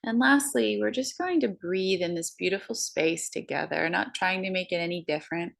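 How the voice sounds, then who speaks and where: A woman guiding a breathing meditation, counting slowly in a soft, soothing way. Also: fairly low pitch, vocal fry. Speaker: meditation guide.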